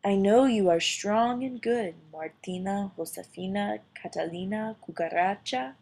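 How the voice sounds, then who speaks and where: A woman voicing a sweet, gentle little male mouse in a storybook, soft and kindly in manner. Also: mid-range voice, measured pace. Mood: sweet, sincere.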